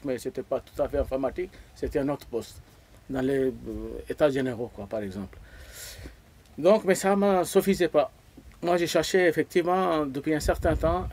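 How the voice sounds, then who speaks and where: A man's voice: medium pitch, darkish tone. Earnest older man. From Pakistan. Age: around 70. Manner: earnest, halting, repeats words while searching for them. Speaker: interview guest. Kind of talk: casual interview answers.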